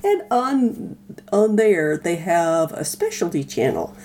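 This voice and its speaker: Woman, podcast co-host, casual chat, soft dark tone, lowish pitch, faint hum. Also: very animated, slow pace.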